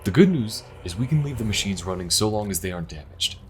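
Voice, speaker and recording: Male actor as fantasy crew engineer: slightly low voice, practical, matter-of-fact. Audio drama. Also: expressive, fast, a little hiss or hum, natural voice. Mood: businesslike. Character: practical male ship engineer and battle aide. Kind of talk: dramatic audio roleplay acting.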